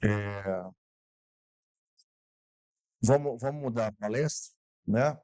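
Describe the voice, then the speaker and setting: A man's voice: lowish voice, slow pace, warm tone, no room sound. A man hosting a live corporate event, chatting casually and talking straight to the audience. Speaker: event host.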